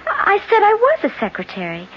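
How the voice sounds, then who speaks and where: An actress playing a bewildered, frightened young secretary, hesitant and emotive, in 1970s radio drama style. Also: female voice, very high-pitched, wildly swooping pitch, moderate pace, heavily acted. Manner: breathy exclamations, hesitant, emotive. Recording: muffled, noisy old radio broadcast recording.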